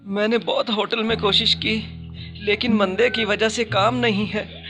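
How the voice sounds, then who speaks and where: A man plays an anxious yet hopeful husband and cook in a cartoon moral tale. His voice sits fairly high and sounds earnest and plaintive. Faint music runs behind him. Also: little pitch movement, measured pace, bright, forceful tone, full character voice. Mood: worried. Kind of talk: cartoon moral story dialogue.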